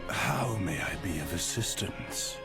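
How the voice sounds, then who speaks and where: A sinister henchman from a video game cutscene. His voice is very low and he speaks slowly, with formal, servile measure, beneath very loud music. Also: lively intonation, vocal fry, full character voice.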